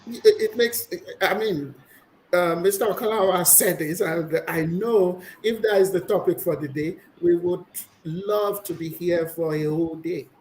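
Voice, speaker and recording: A woman's voice: lowish voice, unhurried, a little mellow, a little hiss or hum, poor audio. Opinionated woman guest. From Nigeria. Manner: opinionated, explanatory, with frequent restarts. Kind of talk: conversational interview.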